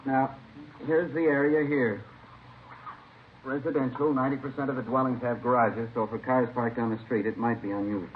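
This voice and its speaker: An actor playing an authoritative police captain who gives methodical instructions in a vintage radio crime drama. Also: male voice, medium pitch, fairly even intonation, normal speed, lightly acted. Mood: businesslike. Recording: muffled, hissy old radio recording.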